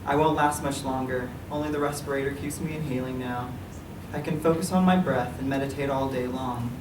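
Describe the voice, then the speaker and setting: A man reads his writing aloud in a measured, even voice at a middle pitch. He sounds distant from the mic, the room echoes around him, and a clear hiss of noise sits underneath. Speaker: writer reading his work. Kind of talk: literary reading. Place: library meeting room.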